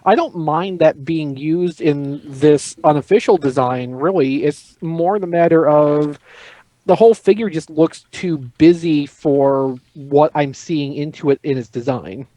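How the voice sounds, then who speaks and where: A man with a slightly high voice talks through his opinions in a casual panel discussion. He is analytical and measured, and the sound is dry and close. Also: warm tone, no room sound, low-quality recording. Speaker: podcast panelist. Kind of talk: casual panel chat about toy collecting.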